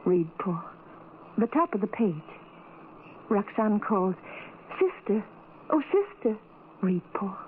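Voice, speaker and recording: A woman's voice: mid-range voice, big pitch swings, takes it slowly, long pauses. An actress playing a tender, adoring young blind woman in an old-time radio drama, speaking earnestly and romantically. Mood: eager. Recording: tinny, noisy old radio recording.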